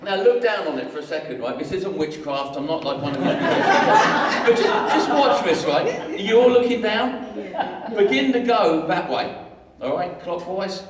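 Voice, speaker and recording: A man preaching a lively, jokey sermon, telling a story with voices and getting the congregation to join in. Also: sits high, moderate pace. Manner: jokey, animated storytelling with audience participation. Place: large hall with an audience. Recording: echoey hall, far from mic, crowd.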